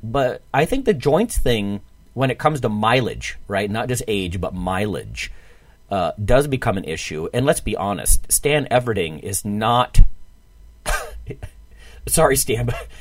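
A man's voice: mid-range voice, animated, a little slow, no room sound. A man in his forties hosting a podcast, talking casually about fitness. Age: 40s. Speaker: podcast host.

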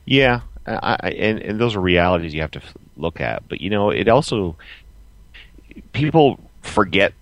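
A man in his forties co-hosting a podcast, chatting casually and emphatically in a halting, repetitive way. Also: lowish voice, very animated, dry recording. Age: 40s. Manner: halting, emphatic, repeats himself. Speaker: podcast co-host. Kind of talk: casual opinionated chat.

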